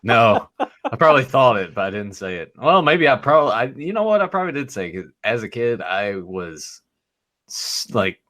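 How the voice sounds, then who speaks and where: A man's voice: mid-range voice, big pitch swings, moderate pace, pushed and bright. A man hosting a podcast in casual chat, telling rambling stories with free swearing and laughing at himself. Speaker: podcast host.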